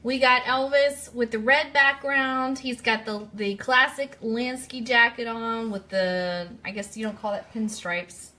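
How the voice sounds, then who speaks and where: A woman with a bright, forward voice hosts a live radio stream. She talks in a chatty, casual way, putting questions straight to her listeners as a quiz host. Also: a little high, fairly even intonation, measured pace, few pauses, slight background noise. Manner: chatty, interactive, addresses listeners directly.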